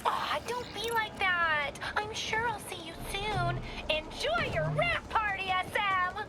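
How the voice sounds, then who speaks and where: Shrill, gleeful prankster villainess. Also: female voice, expressive, slow, over loud music, full character voice. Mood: mock sweetness. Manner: spells out internet slang, mocking, theatrical. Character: gleeful, taunting young female livestream prankster villain.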